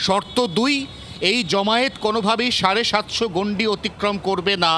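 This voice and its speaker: A male field reporter with a West Bengal Bengali accent speaks in a high, forceful voice. He explains point by point with emphasis, with faint outdoor traffic noise behind him. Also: fairly even intonation, fairly quick. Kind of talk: live explanatory news report.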